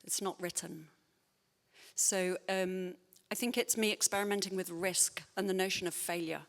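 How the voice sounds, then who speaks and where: A woman's voice: fairly low pitch, steady tone, moderate pace, in a large hall. A woman writer answering audience questions in a thoughtful, hesitant way, with many fillers and restarts. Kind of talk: spontaneous public Q&A talk.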